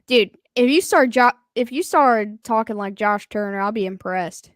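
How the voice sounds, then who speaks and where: Chatty high-voiced woman, dry sound. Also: normal speed, dry recording. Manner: chatty, excitable, reacts loudly to big plays. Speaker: live stream co-host. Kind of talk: casual fan chat.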